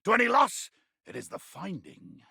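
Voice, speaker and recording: An actor playing a grandiose, bloodthirsty pirate berserker in a video game, with a gravelly voice and florid, bombastic old seafaring speech. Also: male voice, high-pitched, wildly swooping pitch, moderate pace, no echo, studio quality, heavily acted. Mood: grandiose, threatening. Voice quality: gravelly, raspy. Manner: bombastic, florid archaic pirate diction, erupting into shouts. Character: ranting, grandiose, bloodthirsty male pirate berserker, comically menacing. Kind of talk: theatrical video game character acting.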